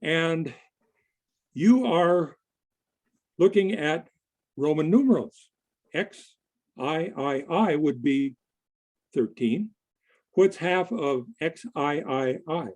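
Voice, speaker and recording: A man giving a conversational presentation to a group in a halting, deliberate manner. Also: a little high, animated, a little mellow. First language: English. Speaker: conference presenter. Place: online video meeting.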